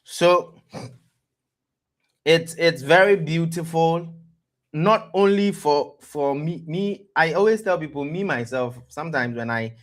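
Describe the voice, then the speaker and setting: A man with a West African accent hosting a live-streamed trading lesson, pausing to ask "what?" and answering himself, often repeating words as he goes. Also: on the high side. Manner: quizzes listeners with rhetorical "what?" prompts; repeats words and restarts phrases. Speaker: trading educator, stream host.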